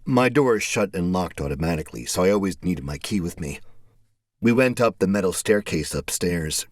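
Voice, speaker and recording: A man's voice: sits low, lively intonation, normal speed, no room sound, clean studio sound. A man reading a first-person story as a dramatic scripted narration. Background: faint music.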